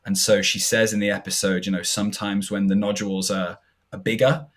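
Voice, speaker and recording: A man's voice: on the low side, flat delivery, a little fast. Man explaining on a podcast. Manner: chatty, explanatory, hesitant fillers. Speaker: podcast co-host. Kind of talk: casual podcast commentary.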